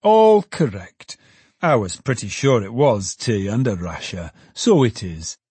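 A man voicing an old male ticket porter who talks quickly and breathlessly, fussing and chattering. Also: lowish voice, animated, a little mellow, slightly rough, no echo, full character voice. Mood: fussy.

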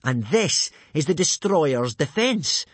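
A man's voice: medium pitch, very animated, a little slow, breathy edge, no room sound, voice pushed up. A man voicing a boastful, sneering teenage Viking bully in a children's audiobook, drawling and self-satisfied. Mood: jeering. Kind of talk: children's audiobook character acting.

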